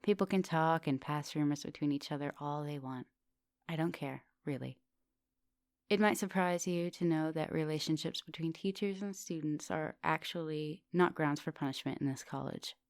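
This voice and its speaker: A woman playing a coaxing, soft-dominant vampire professor in an intimate ASMR roleplay, speaking with polite, formal phrasing. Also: low-pitched, moderate pace, no room sound. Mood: confiding, coaxing. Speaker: teacher.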